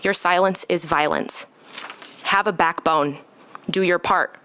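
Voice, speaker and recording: A woman from Michigan with an American accent speaks as a resident at a public meeting. Her voice sits a little low for a woman. She delivers prepared remarks in a measured, earnest way. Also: sharp tone, smooth. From Michigan, United States. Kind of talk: prepared public comment speech. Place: city commission meeting room.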